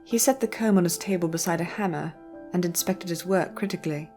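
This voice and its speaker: A woman narrating a scripted story in a measured, literary storytelling manner. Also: on the low side, dark tone, soft background music.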